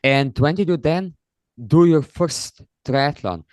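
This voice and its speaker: A man's voice: on the high side, animated, slow pace, a little mellow, smooth, dry recording, studio quality. A man hosting a podcast who opens with an intro and then asks interview questions in long, structured sentences. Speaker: podcast host.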